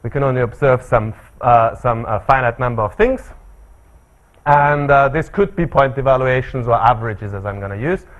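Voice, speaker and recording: Middle-aged Austrian-accented male lecturer, measured delivery with fillers, mid pitch. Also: muffled recording. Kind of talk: academic seminar talk. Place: seminar room.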